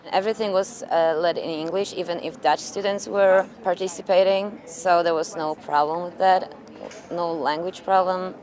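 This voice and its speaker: A woman's voice: on the low side, steady tone, moderate pace, few pauses, a little mellow. Chatty young woman from Poland. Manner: casual, chatty, uses "like". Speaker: exchange student. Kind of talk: interview testimonial.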